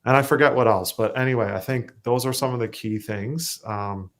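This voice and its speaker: A Canadian man with a self-described monotone voice, talking casually as a musician guest, rambling with fillers and restarts. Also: medium pitch, normal speed. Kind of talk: casual interview chat.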